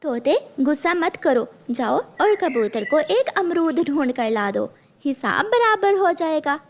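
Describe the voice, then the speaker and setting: Woman as a fair-minded, high-voiced cartoon bird. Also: sing-song, measured pace, slight background noise, exaggerated voice. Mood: firm, reasoning.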